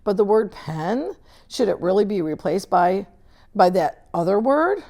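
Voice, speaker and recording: A woman voicing a stern, controlled older female schoolteacher in a storybook, speaking in a measured, precise, formal way. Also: medium pitch, very animated, darkish tone. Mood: firm, probing. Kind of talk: storybook read-aloud character dialogue.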